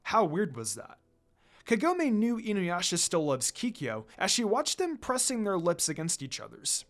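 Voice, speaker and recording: Someone reading a story out loud, dramatic homemade narration, pitch moves a lot. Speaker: story reader.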